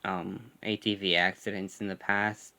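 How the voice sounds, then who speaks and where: A young man with a slight lisp and a smooth, clear tone. He chats casually in a couple's vlog, now and then stammering as he tells a story. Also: low voice, flat delivery, sharp tone. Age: young adult. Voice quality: slight lisp from a gap in his front teeth. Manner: halting, stammers at times. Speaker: vlogger. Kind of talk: casual chat.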